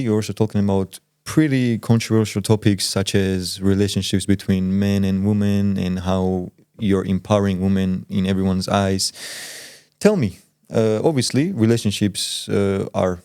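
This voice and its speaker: A man's voice: fairly low pitch, steady tone, normal speed, warm tone, clear, resonant tone, no echo, studio quality. A man conducting a casual interview, wordy and hedging, rephrasing his questions as he goes. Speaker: interviewer, podcast co-host.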